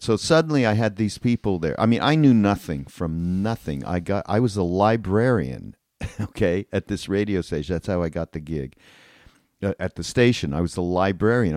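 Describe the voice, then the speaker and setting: Older man with a low, dry-recorded voice. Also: darkish tone, dry recording. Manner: rambling, informal. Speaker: podcast host. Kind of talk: casual chat, reminiscing.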